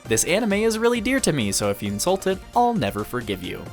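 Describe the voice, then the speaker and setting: Man narrating with lively, varied pitch. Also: fast, soft background music. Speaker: anime video essayist. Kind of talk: scripted review narration with occasional casual asides.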